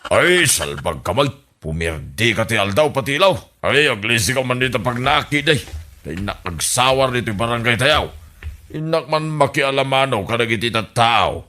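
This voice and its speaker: A man's voice: mid-range voice, lively intonation, normal speed, noisy background, natural voice. A man voicing a cranky middle-aged uncle who blusters at a teasing youngster. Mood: gruff. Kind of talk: comedy radio drama acting.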